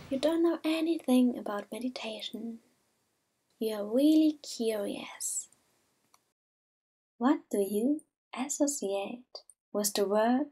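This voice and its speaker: Female meditation guide, higher-pitched but mellow, gentle and unhurried, soft to near-whisper. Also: a little hiss or hum. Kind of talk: slow soothing guided meditation.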